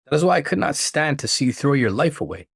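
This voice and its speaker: A man's voice: a little high, big pitch swings, quick pace, breathy edge, no room sound, clean studio sound, voice pushed up, exaggerated voice. A man voicing a jealous, bitter woman, the best friend in a story reading, defensive and emotional.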